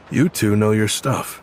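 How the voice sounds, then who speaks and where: A man playing a kindly, earnest guardian of children in a video game, gentle and sincere. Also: fairly low pitch, a little hiss or hum.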